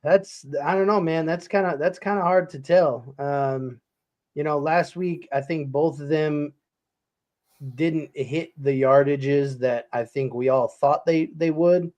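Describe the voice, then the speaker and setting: A man in his late thirties co-hosting a sports show, laid-back and slangy, quick to laugh. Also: fairly high pitch, darkish tone, muffled recording. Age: 30s. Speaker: co-host. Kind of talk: casual sports talk.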